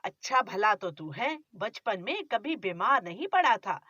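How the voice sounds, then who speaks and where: A woman's voice: medium pitch, big pitch swings, unhurried, pushed and bright, no room sound, lo-fi sound, exaggerated voice. A woman voicing a stingy, nagging older mother-in-law who scolds about money. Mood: scolding. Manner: scolding, penny-pinching complaints.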